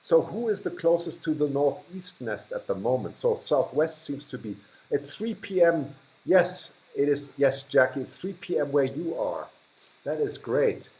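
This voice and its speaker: A man's voice: medium pitch, animated, measured pace, a little mellow. Chatty German-accented man in an echoey room. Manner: chatty, laughs often, trails off and restarts. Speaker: livestream host. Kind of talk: casual live chat reading viewer comments.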